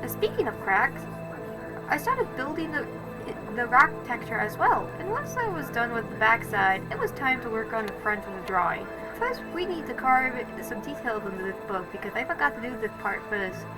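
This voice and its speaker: A woman's voice: on the high side, very animated, moderate pace, poor audio. A woman doing casual voice-over narration for a craft video, rambling and correcting herself as she goes. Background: music.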